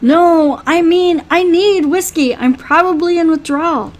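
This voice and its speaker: A woman's voice: sits high, lively intonation, moderate pace, darkish tone, voice pushed up. A woman reading a visual novel aloud as an injured, self-pitying young noblewoman, plaintive and emphatic. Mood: insistent.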